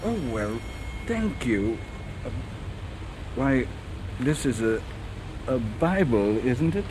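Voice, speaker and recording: A man's voice: mid-range voice, very animated, slow pace, background noise. A man acting in a radio drama as a skeptical, haughty British naval captain, pompous and hesitant when flustered. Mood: taken aback.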